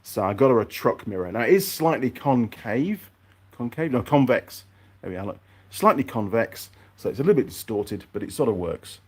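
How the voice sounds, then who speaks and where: A man's voice: medium pitch, animated, moderate pace, slightly rough. A man chatting casually and unscripted to his viewers as a mechanic vlogger, rambling along and thinking aloud in his workshop.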